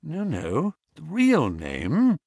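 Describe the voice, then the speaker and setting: A man voicing a shrewd detective who speaks blandly and calmly. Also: medium pitch, no echo, clean studio sound. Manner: bland, quiet, measured.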